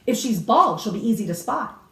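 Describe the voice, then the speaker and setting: A woman's voice: fairly high pitch, big pitch swings, fairly quick, a bit bright, a little hiss or hum, pitched above the performer's own voice. A woman voicing a curious, earnest young grandchild in a storybook, full of eager questions. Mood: eager, questioning. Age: child. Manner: inquisitive, earnest. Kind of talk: storybook read-aloud character. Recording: roomy echo, distant microphone.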